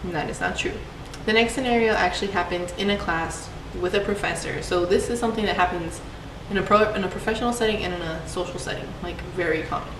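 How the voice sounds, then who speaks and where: A woman's voice: fairly low pitch, fast, few pauses. Chatty young woman from Puerto Rico. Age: young adult. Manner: chatty, frequent fillers with "like". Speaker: student. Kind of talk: personal storytelling for a class project. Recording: echoey, distant mic, background noise.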